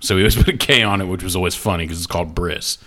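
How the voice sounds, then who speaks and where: A man's voice: sits low, rapid-fire, fairly bright tone. A middle-aged man co-hosting a podcast in casual, joking banter, laughing often and swearing. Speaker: podcast co-host.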